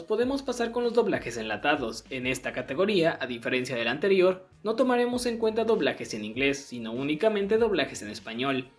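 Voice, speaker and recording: Guy doing scripted video essay narration, high pitched and really lively, music behind him. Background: background music.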